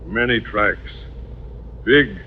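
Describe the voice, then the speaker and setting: Accusing henchman, low voice, slow, terse phrases, 1940s radio drama, muffled old recording. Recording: dull, faintly noisy old radio recording.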